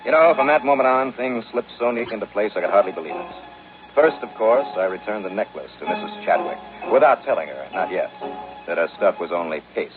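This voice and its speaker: An actor playing a brisk, confident male investigator in a 1950s radio detective drama, telling his case in a wry, clipped, hard-boiled way. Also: lowish voice, animated, close to an everyday voice. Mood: matter-of-fact narrating. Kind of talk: 1950s radio detective drama, first-person narration and dialogue. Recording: muffled vintage radio recording with music.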